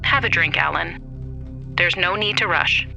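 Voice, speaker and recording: A woman acts out a calm doctor in an audio horror drama. She is reassuring and measured, speaking soothingly and probing gently. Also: on the low side, gravelly, soft background music, heavily acted. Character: calm, reassuring female doctor interviewing a traumatized patient.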